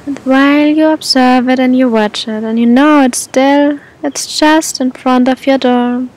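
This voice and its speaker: A woman guiding a meditation in a gentle, soothing manner, speaking to the listener with long, unhurried pauses. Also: steady tone, poor audio. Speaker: meditation guide. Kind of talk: guided meditation, spoken softly to the listener. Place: outdoors on a beach. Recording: outdoor recording with low rumbling noise.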